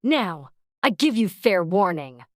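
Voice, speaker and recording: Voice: mid-range voice, big pitch swings, slow, dry recording, studio quality, voice pushed up. A narrator voicing a savage, bossy queen who barks commands in an imperious manner, in a storybook reading. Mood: furious. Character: savage bossy queen, shouting threats of execution. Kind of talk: storybook character voice.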